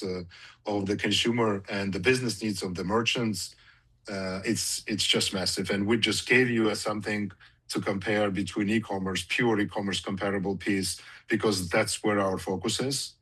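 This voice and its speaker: A man's voice: lowish voice, a bit bright, husky. A male company executive giving an unscripted answer on an earnings call, emphatic and repeating himself for weight.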